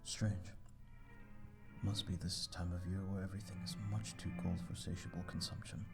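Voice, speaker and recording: A man's voice: sits low, level tone, normal speed, creaky, over loud music. A man playing a lonely, refined vampire lord with formal, wistful speech in an ASMR audio drama. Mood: aloof, musing. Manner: formal, wistful, archaic wording.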